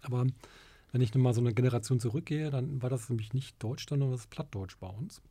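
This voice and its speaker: A man's voice: medium pitch, a little fast, dark tone, slightly rough. A man, a teacher, leading a classroom discussion and asking questions, speaking hesitantly with many fillers.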